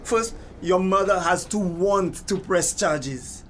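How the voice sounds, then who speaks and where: A man's voice: high voice, slow pace, fairly bright tone, slight background noise. A man with a Caribbean accent playing an evasive, placating policeman and family friend in a TV drama. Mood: measured, resigned. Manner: hesitant, defensive, placating. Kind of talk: TV drama dialogue. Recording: music clearly behind the voice.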